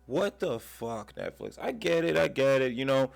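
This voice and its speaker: A man who is sick with a raspy voice, hosting his channel and ranting in a casual, profane way from his man cave at home. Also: medium pitch, lively intonation, moderate pace. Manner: profane, heated rant. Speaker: channel host.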